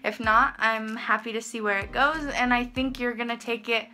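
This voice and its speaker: A woman's voice: on the high side, moderate pace, soft background music. A young woman rambling in a casual vlog from her bedroom, talking to a camera in front of her and saying "like" a lot. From Texas. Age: young adult. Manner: rambling, lots of "like", expressive hand gestures. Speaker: vlogger. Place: small bedroom, indoors. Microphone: camera in front, head and shoulders framing.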